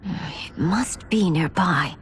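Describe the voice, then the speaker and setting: A woman's voice: on the low side, takes it slowly, slight background noise. A woman playing a fierce, determined young warrior princess in a dramatic video game cutscene. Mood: resolute.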